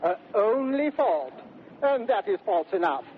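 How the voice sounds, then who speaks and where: Genial courtly suitor, excitable, theatrical, 1930s radio drama, phone-thin recording with faint hiss. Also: male voice, high voice, measured pace. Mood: confiding. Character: genial, excitable gentleman suitor, teasing and lovestruck. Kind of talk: 1930s radio Shakespeare acting. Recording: very tinny vintage radio recording, faint noise.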